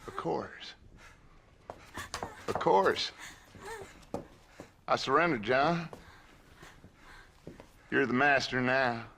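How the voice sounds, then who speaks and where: An actor playing an older outlaw gang leader in a video game, with a theatrical, taunting, drawn-out delivery. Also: male voice, medium pitch, creaky, bright, forceful tone, slight background noise, exaggerated voice. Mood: resigned, sardonic. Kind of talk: dramatic video game acting.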